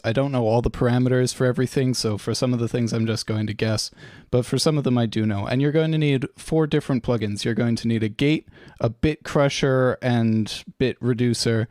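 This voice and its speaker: A man's voice: medium pitch, a little mellow, no echo, studio quality. A man presenting an audio-production tutorial in an informal, matter-of-fact, slightly tentative way.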